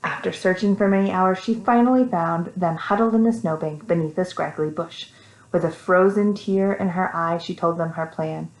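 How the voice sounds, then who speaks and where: A woman's voice: fairly low pitch, moderate pace. American woman reading stories in echoey room. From Texas, United States. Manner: animated, simple, child-directed. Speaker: children's story reader. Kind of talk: storytelling to young children, with casual asides.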